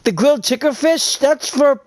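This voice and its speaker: A woman's voice: high voice, moderate pace, noisy background. A woman giving casual gameplay commentary and reading out dialogue choices as she plays. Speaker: gamer playing through a story quest.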